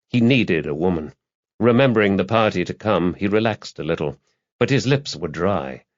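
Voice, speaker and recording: A low-pitched male narrator reads a crime thriller novel as a scripted audiobook. The recording is very dry, with a dull, lo-fi sound. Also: no echo.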